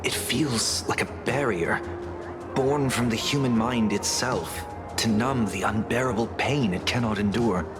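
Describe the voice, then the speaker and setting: An actor playing a composed, perceptive male tuner in a game, speaking in a measured, formal, grave manner. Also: medium pitch, natural voice. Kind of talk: scripted game dialogue. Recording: music behind the voice.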